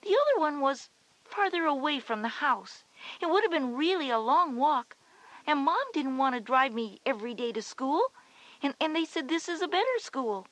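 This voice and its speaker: High-voiced chatty big sister. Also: female voice, big pitch swings, moderate pace, fairly bright tone, dry recording, poor audio. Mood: matter-of-fact explaining. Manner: explanatory, reassuring, big-sisterly. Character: confident chatty older sister, a schoolgirl. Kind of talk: scripted children's educational audio drama.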